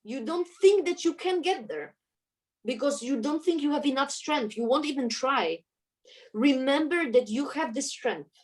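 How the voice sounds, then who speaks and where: A woman's voice: high voice, lively intonation, moderate pace. A woman with a Polish accent, a native Polish speaker, talking earnestly as an interview guest and often searching for her words. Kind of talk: conversational interview.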